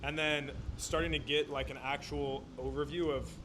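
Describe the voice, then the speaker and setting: A man in his late twenties answering his coach's questions in a quick, casual way. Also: on the high side, flat delivery. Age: 20s. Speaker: coaching client. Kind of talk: casual conversation. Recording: noticeable background noise.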